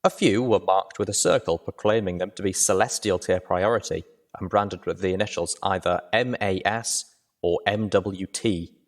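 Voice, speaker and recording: A man's voice: low-pitched, steady tone, normal speed. A man reading scripted adventure fiction as the narrator.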